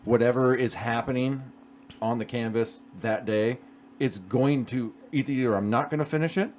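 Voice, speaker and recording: A man's voice: mid-range voice, moderate pace, a little mellow, a little hiss or hum. A man co-hosting a podcast, talking casually and conversationally. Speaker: podcast co-host. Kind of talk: casual chat.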